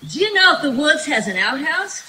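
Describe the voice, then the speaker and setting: Woman doing a bright, high folksy country gal. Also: big pitch swings, fairly quick, faint music behind, heavily acted. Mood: friendly. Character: folksy country woman trading corny farm jokes. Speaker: actor in a live old-time radio show. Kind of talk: old-time radio comedy acting. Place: outdoor night stage in the woods. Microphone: stage microphone, filmed by distant camera. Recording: slightly noisy outdoor stage recording.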